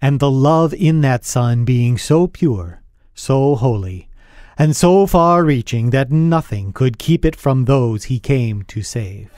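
Man, earnest narrator, deliberate old radio storytelling, medium pitch, dry close sound. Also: animated, a little mellow, no room sound. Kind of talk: dramatic scripted storytelling in old radio style.

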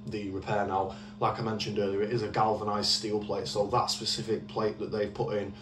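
A man's voice: on the low side, level tone, a little fast, fairly bright tone. A man with a British accent giving casual, informal and explanatory commentary as a car restoration vlogger. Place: in a car, out on a street, and at a computer. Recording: noisy handheld recording, vehicle ambience.

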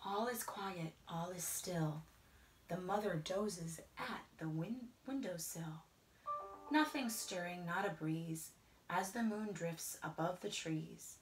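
A middle-aged woman reads a picture book aloud to children in a rhythmic, singsong way, often dropping into hushed whispers. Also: lowish voice, slow, slight background noise. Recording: roomy indoor echo.

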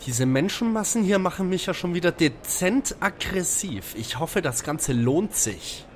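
An actor playing a grumpy, sarcastic young detective in a parody radio play. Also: male voice, high voice, expressive, a little slow, a little hiss or hum. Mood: grumbling.

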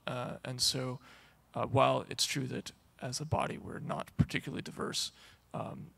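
A man speaking calmly and reassuringly as a panelist in a large lecture hall. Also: medium pitch, creaky, slightly rough. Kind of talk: panel discussion.

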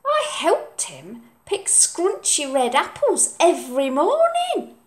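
A woman's voice: sits high, huge pitch swings, takes it slowly, voice pushed up, full character voice. A woman voicing a stubborn, sulky young boy in a storybook, drawing out words emphatically. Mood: wistful, proud. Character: stubborn young boy proud of his grandpa.

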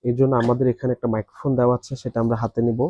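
A man walks through a product demonstration, explaining each step practically and quickly. His voice is dark and mellow, and the recording sounds dry and a little dull. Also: medium pitch, little pitch movement, no room sound.

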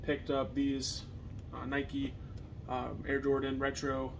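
A young man with an American accent chatting casually to camera as a reseller, with halting phrasing. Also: medium pitch, completely flat delivery, vocal fry. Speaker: reseller co-hosting a video channel. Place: partially finished basement, indoors. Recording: noisy basement room recording.

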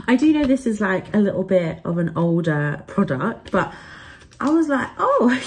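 A woman's voice: medium pitch, a little mellow, a little hiss or hum. A young woman chatting casually to camera as a vlogger, upbeat and full of fillers. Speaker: travel and beauty vlogger. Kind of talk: casual haul chat to camera.

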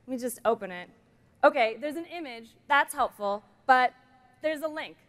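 A woman's voice: sits high, moderate pace, fairly bright tone. A middle-aged woman, a product designer, giving an upbeat, playful keynote demo on a large conference stage with a headset mic. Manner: upbeat, playful presenting with jokes.

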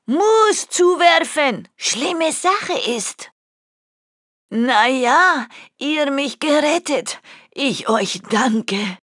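An old village chieftess from a video game, speaks very slowly in a husky, aged voice. She is wise and solemn, telling things in short broken phrases with an ominous air. The recording is close and dry. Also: sits high, a bit bright, no room sound, heavily acted. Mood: grateful. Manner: slow, broken clipped phrasing, ominous storyteller. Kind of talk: video game character acting.

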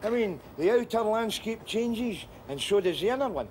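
A man's voice: sits high, expressive, normal speed, a little mellow, a little hiss or hum. An actor playing a cynical, cheerful, middle-aged drinker who chuckles through his jokes and keeps asking "eh?". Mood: musing. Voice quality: chuckling, wry, chatty. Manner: asks for agreement with "eh?" and "you know". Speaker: main character. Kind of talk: film drama acting.

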